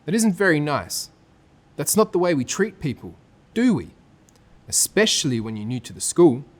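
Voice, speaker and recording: A man's voice: fairly high pitch, big pitch swings, normal speed, pitched above the performer's own voice. A man voicing a kind but firm female primary teacher in a children's storybook, with an Australian accent and an encouraging, questioning lilt. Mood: gently firm. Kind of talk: children's storybook read aloud.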